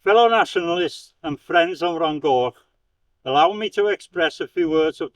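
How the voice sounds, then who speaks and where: A man from Wales reading a prepared speech aloud in a slow, declamatory way, with stumbles and restarts. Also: on the high side, no room sound, distant mic. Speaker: speaker at a commemoration.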